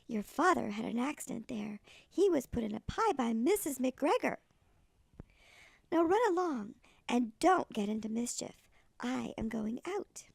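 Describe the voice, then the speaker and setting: Tiny elderly granny storyteller, played by a woman: high-pitched, very gravelly, expressive picture-book read. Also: no room sound, exaggerated voice. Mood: motherly, cautioning. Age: older. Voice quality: creaky, gravelly. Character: tiny granny, a little old lady storyteller. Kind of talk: expressive children's storybook reading.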